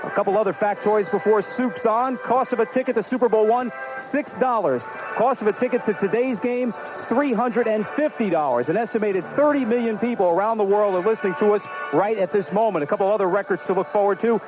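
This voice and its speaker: A man announcing a pregame football broadcast on the radio, running through trivia in a brisk, fact-filled way. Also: high voice, over music, low-quality recording.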